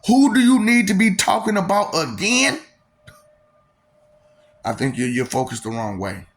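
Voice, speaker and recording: A man's voice: sits high, big pitch swings, unhurried. A man giving a casual, preachy monologue full of rhetorical questions and filler.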